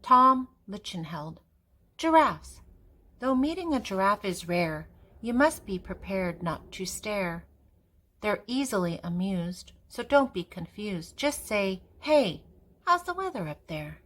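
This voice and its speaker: An older woman reads aloud from a children's picture book. Her pace is slow and deliberate, with a mid-range pitch. She is expressive and puts clear emphasis on key words. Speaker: children's story reader and channel host.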